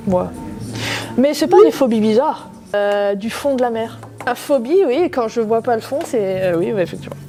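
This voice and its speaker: A woman's voice: fairly high pitch, lively intonation, normal speed, warm tone, soft background music. A woman in a couple quiz, bantering playfully, teasing her partner and laughing often. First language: French.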